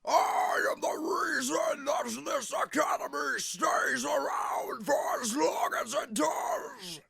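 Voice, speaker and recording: Shouting evil cartoon death lord. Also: male voice, very high voice, huge pitch swings, vocal fry, whispered, pitched above the performer's own voice, heavily acted. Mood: enraged, grandiose. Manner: shouting, strained, drawn-out grandiose delivery. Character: menacing, booming, enraged evil Lord Death. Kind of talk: comedic cartoon villain voice.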